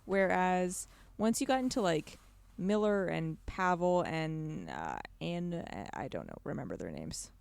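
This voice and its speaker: A woman with a slightly low voice co-hosts a casual gaming chat. Her pitch swings widely as she talks bluntly and informally, recorded close and dry. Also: very animated, a little slow, gravelly, darkish tone, no echo. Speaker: podcast co-host. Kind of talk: casual video game discussion.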